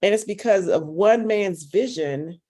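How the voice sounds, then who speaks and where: A woman's voice: mid-range voice, unhurried, dark tone. Woman on a call, mid voice, fervent and upbeat, repeats words. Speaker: caller sharing a testimonial. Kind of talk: enthusiastic testimonial on a call.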